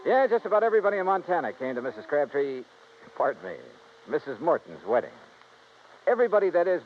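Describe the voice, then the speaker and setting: An actor playing a wry, tough investigator, acting and narrating in the style of 1950s radio noir. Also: male voice, fairly high pitch. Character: wry, tough, steady male investigator narrating his case. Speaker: star of a radio detective series. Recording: noisy, muffled 1950s radio recording.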